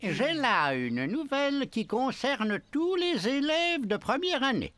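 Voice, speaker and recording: An actor playing a fussy, elderly school principal in a French cartoon dub, formal but hesitant and self-correcting. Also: male voice, extremely high pitch, big pitch swings, slow, fairly bright tone, no room sound, full character voice. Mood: ceremonious.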